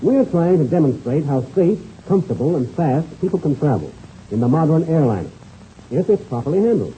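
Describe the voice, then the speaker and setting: An actor in an old-time radio serial playing a stern, principled race pilot and leader, firm and lecturing in manner. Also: male voice, on the high side, very animated, a little fast, dark tone. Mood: stern, scolding. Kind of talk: 1930s radio serial acting. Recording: muffled old radio recording, faint noise.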